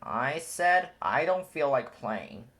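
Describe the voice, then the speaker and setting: A man's voice: medium pitch, sing-song, takes it slowly, a bit bright, full character voice. A man reading a storybook aloud, voicing a grumpy, proud young male lion cub in a voice close to his own. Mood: sulky. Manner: sulky, grumbling.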